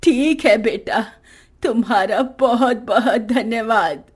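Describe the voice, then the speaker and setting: A woman puts on a frail old villager for a cartoon moral tale. She speaks slowly and wearily, pitched well down from her own voice, with a humble, pleading manner. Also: husky. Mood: grateful. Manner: pleading, slow, weary. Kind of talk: Hindi moral-story cartoon acting.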